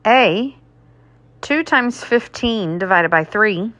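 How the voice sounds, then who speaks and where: A woman with a mid-range voice reads test questions aloud like a teacher. Her pace is extremely slow and deliberate, with each item set out separately. The sound is dry, with little room around it. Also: medium pitch, expressive, a bit bright, no echo.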